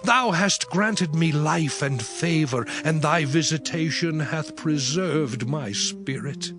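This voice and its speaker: A man's voice: on the high side, slow. An actor playing an afflicted, righteous man in a dramatized scripture reading, lamenting and pleading with God in solemn, archaic phrasing. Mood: anguished pleading. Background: music.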